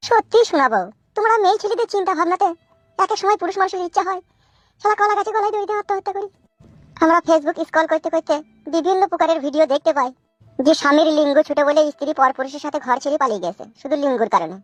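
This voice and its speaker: Male actor as cartoon husband, pleading, coarse, theatrical, quick delivery, faint music. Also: very high voice, no echo. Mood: pleading, exasperated. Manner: coaxing, theatrical, colloquial. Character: pleading, excitable new husband, coarse village man. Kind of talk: comic cartoon dubbing.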